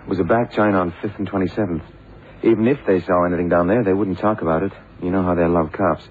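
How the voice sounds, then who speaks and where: A man's voice: low-pitched, fairly even intonation, fast, lightly acted. A man playing a detective's matter-of-fact, plain-spoken colleague in an old crime radio drama. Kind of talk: 1960s-70s radio drama acting. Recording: tinny, hissy old radio broadcast.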